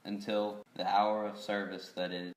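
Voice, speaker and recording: A deep, flat voice from a man acting a businesslike undertaker in an amateur film. He is formal and matter-of-fact, and the room echoes around him. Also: a little slow, close to an everyday voice. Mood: brisk, formal. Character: businesslike, tactless male undertaker. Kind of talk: amateur scripted film dubbing.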